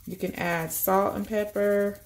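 A woman's voice: mid-range voice, flat delivery, a little slow. A woman, chatting casually and upbeat as she walks through a cooking prep at home, thinking aloud as she goes. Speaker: vegan cooking vlogger. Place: home kitchen.

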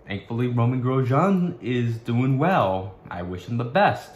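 A young man vlogging to his webcam in a small bedroom, talking in a halting way with many restarts as he searches for words. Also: medium pitch, expressive, echoey room, a little hiss or hum. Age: young adult. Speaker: YouTuber. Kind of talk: casual vlog to camera.

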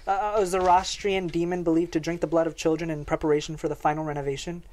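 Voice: medium pitch, little pitch movement, quick pace, darkish tone, lightly acted. An actor at a table read playing Flynn's part, a sceptical, scholarly exorcism doctor in his thirties with a dry, erudite manner. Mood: dry, grave.

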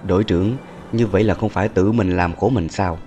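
A man playing a grumbling, hot-tempered young army soldier in a dubbed cartoon, brash and quick to complain. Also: low-pitched, fairly even intonation, mellow, lightly acted. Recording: music behind the voice.

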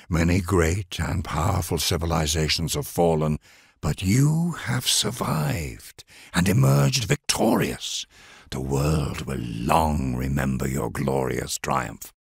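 A man narrating a strategy game scenario in a grave, measured storyteller delivery. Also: sits low, vocal fry, husky, no room sound, studio quality, heavily acted. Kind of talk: scripted dramatic narration.

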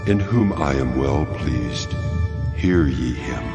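An actor playing the solemn, majestic voice of God from a cloud in a dramatized Bible reading. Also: male voice, rumbling low, expressive, slow pace, heavily acted. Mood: solemn majesty. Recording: long cavernous reverb. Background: loud music.

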